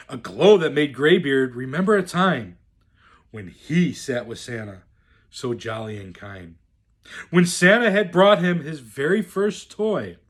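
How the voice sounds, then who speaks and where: A man reading a rhyming children's storybook aloud in a theatrical, deliberate, expressive way. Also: medium pitch. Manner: theatrical, deliberate, swooping delivery. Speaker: storyteller in the role of Santa.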